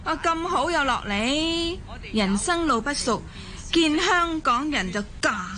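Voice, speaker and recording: A woman's voice: high-pitched, lively intonation, slow pace, sharp tone, exaggerated voice. A woman acting a nagging, scornful Hong Kong housewife in a Cantonese radio drama, scolding and sarcastic. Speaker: voice actor in an audio drama.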